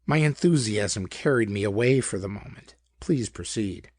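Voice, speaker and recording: A man's voice: on the low side, expressive, moderate pace, a little mellow, no room sound, clean studio sound. A man voicing a pedantic, eager scientific sleuth in a pulp detective story, with formal, precise diction. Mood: apologetic.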